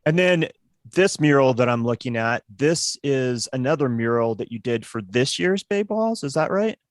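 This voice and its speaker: A man's voice: mid-range voice, animated, moderate pace, dry recording, studio quality. A man co-hosting a podcast, asking his guest questions with admiration. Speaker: podcast host. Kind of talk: casual interview questions.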